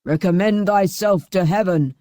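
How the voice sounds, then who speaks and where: A woman reading the part of a cruel, menacing husband, drawing out his threats slowly and heavily. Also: medium pitch, mellow, dry recording, studio quality, lightly acted.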